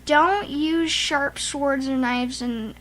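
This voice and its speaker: A woman co-hosting a casual show, rambling and halting with frequent restarts. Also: sits high, fairly bright tone, slight background noise, rough recording. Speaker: podcast co-host. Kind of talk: casual chat.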